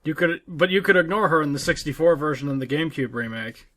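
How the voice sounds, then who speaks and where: A man's voice: on the high side, fast talker, fairly bright tone. A man co-hosting a casual, conversational chat about video games. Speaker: podcast co-host.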